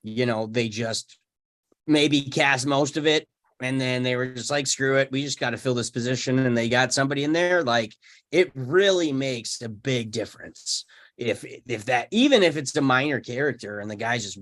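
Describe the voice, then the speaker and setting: A man's voice: mid-range voice, moderate pace, a bit bright. Informal man in casual chat. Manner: informal, colloquial. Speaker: discussion participant.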